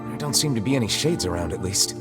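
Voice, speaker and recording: An actor playing an earnest young adventurer in a video game, speaking casually and plainly. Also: male voice, on the low side, animated, natural voice. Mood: wary. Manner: casual, understated, halting. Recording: loud music behind the voice. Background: game music.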